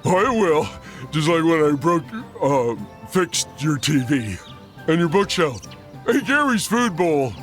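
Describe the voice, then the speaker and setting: A man's voice: on the high side, sing-song, takes it slowly, fairly bright tone, faint music behind, full character voice. A man voicing a dim-witted, happy-go-lucky starfish sidekick in a cartoon game, with a thick, goofy voice and a childlike, oblivious manner. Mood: carefree, eager. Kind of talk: animated cartoon game voice acting.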